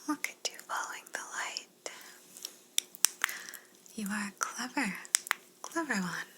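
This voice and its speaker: Slow whispering woman as a polite alien examiner. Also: medium pitch, lively intonation. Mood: clinical, gentle. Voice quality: soft breathy whisper. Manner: slow, soothing, clinical, courteous. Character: polite, curious female alien examiner abducting a human. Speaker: ASMR roleplay performer. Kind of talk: ASMR whispered roleplay.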